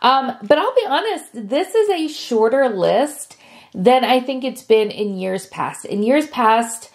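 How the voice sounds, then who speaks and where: A woman's voice: on the high side, expressive, slow. A woman, sick and sniffly, chatting casually to camera as a beauty vlogger. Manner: chatty and friendly, with frequent fillers.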